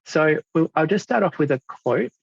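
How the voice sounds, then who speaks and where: An Australian man in his thirties gives a presentation, pausing often with ums and uhs. His voice has a gravelly edge, and the sound is dry and a little dull, as on a lo-fi recording. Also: on the high side, animated, no room sound. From South Australia. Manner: halting, many fillers. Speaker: club leader presenting. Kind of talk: presentation to a club audience.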